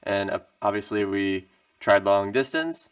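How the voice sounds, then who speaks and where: Deep-voiced young man, far from the mic. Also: huge pitch swings, unhurried, vocal fry, clear, resonant tone, dry recording. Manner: easygoing, agreeable. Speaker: musician guest. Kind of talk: casual interview chat.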